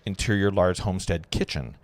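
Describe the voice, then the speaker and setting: A man's voice: deep voice, brisk. A man narrating stage directions at an amateur table read, sometimes stumbling over the words.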